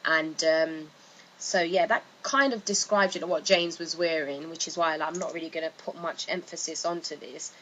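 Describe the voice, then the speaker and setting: Bright-voiced woman explaining informally. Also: low voice, fairly even intonation, normal speed, low-quality recording. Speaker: video narrator giving commentary. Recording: faint background noise.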